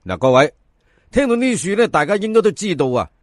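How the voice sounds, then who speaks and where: A male Cantonese storyteller narrates colloquially to his listeners. His voice sits a little high and moves up and down a great deal, with theatrical emphasis. The recording is close and dry. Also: big pitch swings, fairly quick, no room sound. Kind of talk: colloquial folk-tale narration.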